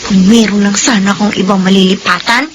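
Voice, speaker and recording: A woman's voice: medium pitch, steady tone, a little fast, very noisy, natural voice. A woman playing a meek, overworked, hesitant housemaid with a plaintive manner in a Filipino radio drama.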